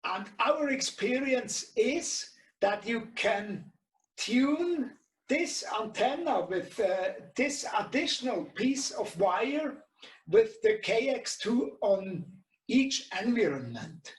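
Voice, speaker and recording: A middle-aged man with a Swiss German accent and German as his native language, presenting an informal tutorial in halting English, searching for words. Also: extremely high pitch, big pitch swings. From Switzerland. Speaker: webinar presenter and radio shop co-owner. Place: small indoor radio workshop. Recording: echoey room, distant mic.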